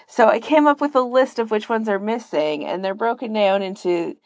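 Woman with creaky voice, casually explaining. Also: a little high, normal speed, a little mellow, no echo. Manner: informal, frequent "like" as filler. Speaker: podcast guest and volunteer archivist.

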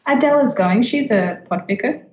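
A woman's voice: a little high, lively intonation, moderate pace, a little mellow. A woman co-hosting a casual podcast, chatting in a playful, teasing way. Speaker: podcast host. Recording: dull, roomy, echoey sound.

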